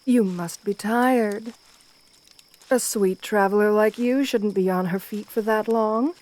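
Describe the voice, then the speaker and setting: A woman's voice: medium pitch, takes it slowly, darkish tone, lightly acted. A woman playing an assertive, flirtatious vampire countess in an ASMR roleplay, speaking to the listener in a genteel, doting way. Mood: gracious, charming. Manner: genteel, doting, uses pet names.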